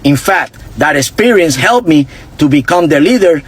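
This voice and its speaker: A man's voice: fairly high pitch, expressive, moderate pace, breathy edge. Blunt Puerto Rican-accented man in his 50s. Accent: Puerto Rican Spanish. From Puerto Rico. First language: Spanish. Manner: blunt and earnest, with occasional cursing. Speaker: army veteran turned political commentator. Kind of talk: storytelling monologue on social media.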